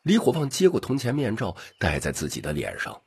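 A man with a rough voice narrating a scripted audiobook story. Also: lowish voice, wildly swooping pitch, fairly quick.

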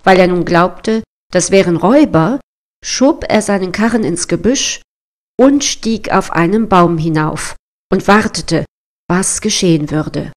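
A woman storyteller narrating a scripted fairy tale in a measured, expressive storybook manner. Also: on the low side.

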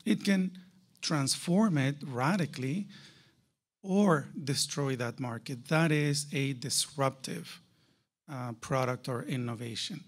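A man's voice: medium pitch, slow. A man giving a conference keynote to a live audience, emphatic, with rhetorical questions and repeated key phrases. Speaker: keynote speaker.

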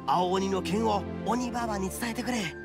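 A man voicing a boisterous, big-hearted young oni gang boss in a Japanese game, cocky and loyal, with swaggering rough speech. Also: sits high, moderate pace, over music. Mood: confident, reassuring. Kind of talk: Japanese anime game voice acting.

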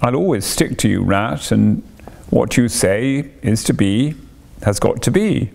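A man's voice: medium pitch, lively intonation, a little slow, gravelly. A man voicing a loyal, wistful small male mole in a storybook reading. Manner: gentle, wistful.

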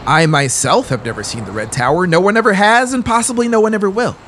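A man in his thirties giving a deliberate, theatrical, brooding reading of a horror audiobook, close on the microphone. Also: high voice. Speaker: audiobook narrator. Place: small brick-walled studio, indoors. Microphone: close microphone on boom arm, headphones. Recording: steady background ambient noise. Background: droning synthesizer ambience.

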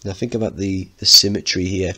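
A man's voice: deep voice, level tone, fairly quick, bright, forceful tone. A man teaching informally, narrating a play and explaining it as he goes. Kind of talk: dramatic reading with lesson commentary.

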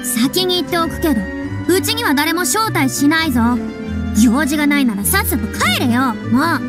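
A woman's voice: high-pitched, lively intonation, moderate pace. A woman voicing a proud, theatrical young woman in an anime game, haughty and prickly, with boyish phrasing. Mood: defensive, irritated. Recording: game music behind.

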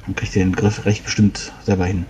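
A man's voice: low voice, flat delivery, fairly quick, darkish tone. A man playing a tabletop role-playing game, talking things over casually.

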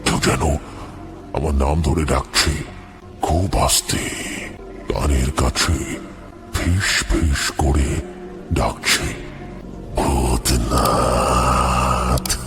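A man voicing a terrified, haunted man recounting nightmares in a horror story, halting and repeating words in dread. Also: flat delivery, heavily acted. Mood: haunted, fearful. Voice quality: very deep. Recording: music under the voice.

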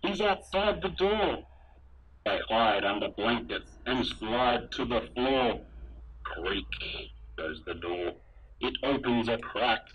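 This is a man acting as a helmeted sci-fi trooper reading rhymes to children from a storybook. He is slow and very animated, with a sing-song delivery. A radio-like helmet filter leaves the voice husky and dull. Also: mid-range voice, exaggerated voice. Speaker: storybook reader. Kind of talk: read-aloud children's story, rhyming. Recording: dull, muffled radio-like sound.

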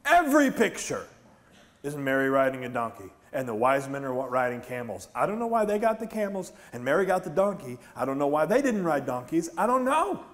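A middle-aged man with an American accent preaches in a somewhat high-pitched voice. He is a pastor who talks conversationally, retelling scripture like a lively story and tossing questions out to his listeners. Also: a little fast. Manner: animated storytelling with questions to the congregation. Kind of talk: conversational church sermon. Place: large church stage, indoors.

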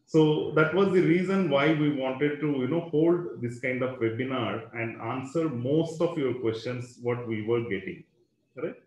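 A man's voice: medium pitch, unhurried, darkish tone, reverberant, low-quality recording. Man explaining over a webinar. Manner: explanatory, frequent fillers and tag "right?". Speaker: school educator hosting a webinar. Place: room at a computer, online webinar. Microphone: computer microphone.

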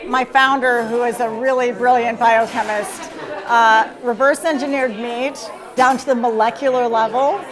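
Higher-voiced woman explaining, crowd around. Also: fairly even intonation, moderate pace, poor audio. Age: middle-aged. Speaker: company representative. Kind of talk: documentary interview.

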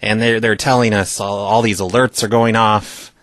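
Low-pitched man, casual prank caller. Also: level tone, moderate pace, a bit bright, no echo. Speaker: host of a prank call show. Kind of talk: casual prank calling and chat.

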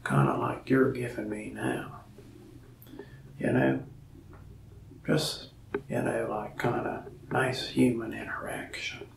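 A young man playing a lonely, friendly mask shop salesman in a soft-spoken ASMR roleplay, talking slowly and often slipping into a whisper. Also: medium pitch, steady tone, roomy sound, far from the mic, rough recording. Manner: slow, soft-spoken, gravelly with frequent whispered stretches. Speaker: ASMR creator. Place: small indoor room, mask wall behind.

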